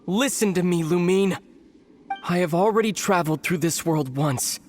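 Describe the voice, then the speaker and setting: A young man playing a solemn, resolute prince in an anime game, speaking in a measured, dramatic way. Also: sits high, a little mellow, faint music behind, close to an everyday voice. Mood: gentle, solemn.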